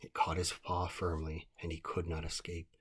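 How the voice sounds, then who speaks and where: A man with a deep, strongly husky voice reads a children's story aloud. His delivery is calm scripted narration, made for bedtime listening. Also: normal speed.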